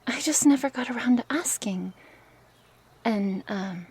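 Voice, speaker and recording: A woman playing a gentle, curious, coaxing snake woman in an intimate ASMR roleplay, speaking softly and hesitantly in a breathy, often whispered voice. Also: a little high, animated, full character voice. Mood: earnest, pleading. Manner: slow, hesitant, soft-spoken with sighs and pauses. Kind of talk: ASMR roleplay, intimate one-sided dialogue.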